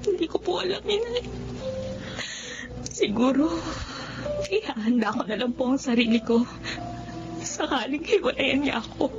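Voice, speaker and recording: A Filipino actress playing a wronged young wife and daughter in a radio drama, aggrieved and tremulous with emotion. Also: female voice, high voice, expressive, moderate pace, long pauses, muffled recording, over music. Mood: tearful resolve.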